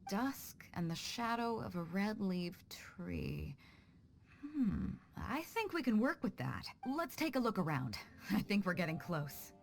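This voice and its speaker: A woman's voice: mid-range voice, big pitch swings, a little slow, vocal fry, slight background noise. An actress playing a bold, confident female pirate captain in a video game, breezy and self-assured, casual yet commanding. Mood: pondering.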